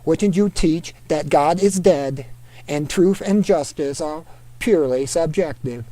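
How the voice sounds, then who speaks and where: A man's voice: a little high, dry recording, natural voice. A man putting on a Norwegian-accented reader. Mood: steady. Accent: put-on Norwegian. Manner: steady, deliberate reading in a put-on accent. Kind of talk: reading an article aloud.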